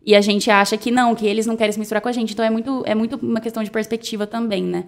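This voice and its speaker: Woman, quick talker, casual interview chat, hesitant restarts, doctor guest. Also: fairly high pitch, steady tone.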